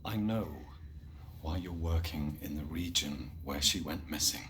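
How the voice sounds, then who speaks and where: An actor playing a polite, persuasive company man who talks in a measured, quiet way. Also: a bass voice. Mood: calm. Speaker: company representative.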